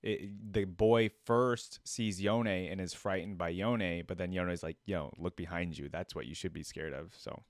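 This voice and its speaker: A man's voice: sits low, a little slow, hardly any pauses, no room sound. A man hosting a reaction video, giving casual spoken commentary.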